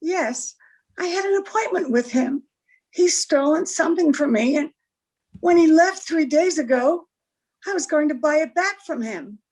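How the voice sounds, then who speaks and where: A haughty, anxious young wife played by a woman in an old-time radio mystery. Her voice is high, and she delivers her lines with melodramatic pride, like someone cornered and in trouble. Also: expressive, normal speed, darkish tone, poor audio. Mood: pained, confiding. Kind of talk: 1940s radio drama acting.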